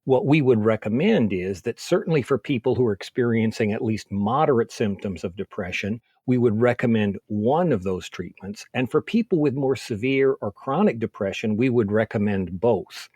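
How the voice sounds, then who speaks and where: A man speaking as a medical expert in an interview, explaining clinical guidance in a measured, methodical way. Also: mid-range voice, animated, a little mellow, slightly rough, no echo.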